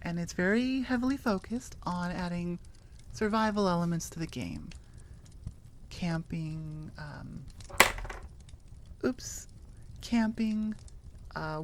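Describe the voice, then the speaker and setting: A woman's voice: on the low side, slow pace, long pauses, darkish tone, slight background noise. A woman chatting casually and informally as an audio creator, rambling with enthusiasm. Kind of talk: casual rambling outro chat.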